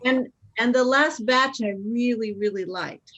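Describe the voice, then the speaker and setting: A woman's voice: on the high side, a little slow, fairly bright tone, muffled recording. A woman tantra instructor with a big, room-trained voice, chatting and asking questions on a video call.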